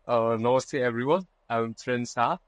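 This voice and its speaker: A man's voice: mid-range voice, unhurried, dry recording, dull audio. A young man in his twenties from Nepal, a guest on a video call, answering hesitantly with many fillers and mixing Nepali and English. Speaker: guest, program coordinator. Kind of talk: casual interview answers, mostly in Nepali.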